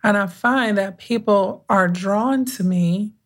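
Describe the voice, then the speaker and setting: A woman with a soothing voice talks through her own podcast as a mindset coach. She speaks casually and reflectively, taking her time, pausing to gather her thoughts and restarting phrases as she goes. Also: medium pitch. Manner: unhurried, conversational, with thoughtful pauses and restarts.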